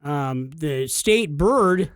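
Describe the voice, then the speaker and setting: Goofy guy with a pretty high voice, making up silly stuff on a gaming podcast, dry mic. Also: very slow, dry recording. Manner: goofy, spins absurd deadpan jokes. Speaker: podcast co-host. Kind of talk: joking casual podcast banter.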